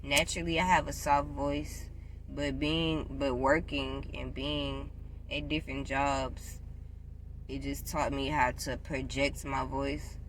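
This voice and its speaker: A woman in her twenties chatting casually to camera, giving job advice, loud and playful and repeating herself often. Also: very deep voice, little pitch movement, slow pace, slight background noise, rough recording. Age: 20s. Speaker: vlogger.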